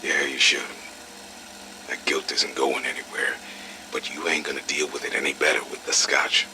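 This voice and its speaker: Man as a blunt weathered major, extremely low voice, sympathetic, audio drama, noisy rumble behind. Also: flat delivery, moderate pace. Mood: frank.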